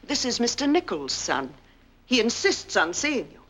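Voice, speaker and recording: A woman's voice: on the high side, very animated, normal speed, a bit bright. A woman playing a genteel, protective middle-aged mother in a 1940s film, formal and imploring. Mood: anxious pleading. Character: indignant, protective, genteel mother of grown man.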